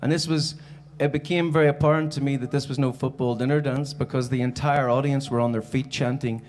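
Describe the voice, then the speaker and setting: Man, Belfast-area Northern Irish accent, mid-range pitch, informal keynote storytelling, conversational and anecdotal. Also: fairly even intonation, brisk, a little mellow. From Northern Ireland. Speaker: keynote speaker and musician. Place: on stage before a live audience.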